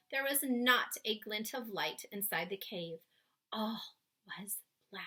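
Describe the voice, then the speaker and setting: A woman reading a children's story aloud expressively, with dramatic pauses, speaking to young listeners. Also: medium pitch, bright, forceful tone.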